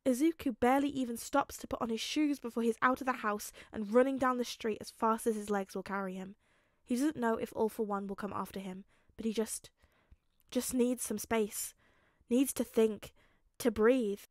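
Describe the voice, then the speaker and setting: High-voiced woman reading a story with feeling. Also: steady tone, no room sound, studio quality. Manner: dramatic, emotive, with halting pauses. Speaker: fan fiction audiobook reader. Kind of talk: expressive scripted narration, reading a story aloud.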